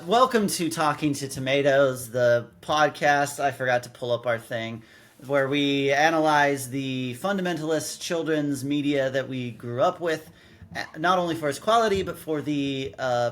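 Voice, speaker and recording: A man's voice: medium pitch, normal speed, fairly bright tone. A man of thirty-nine co-hosting a podcast in casual chat, often stammering and doubling back as he explains. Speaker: podcast co-host.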